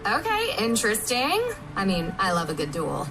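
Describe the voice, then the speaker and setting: A woman chatting as a voice actor guest on a lively promotional panel. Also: medium pitch, moderate pace. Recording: music under a played stream.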